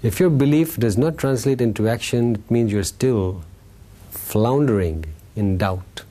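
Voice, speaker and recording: A man's voice: mid-range voice, measured pace, warm tone. A man giving an informal, playful talk as a spiritual teacher, telling a story to a group and checking in with his listeners. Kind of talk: animated storytelling Dharma talk to a live group.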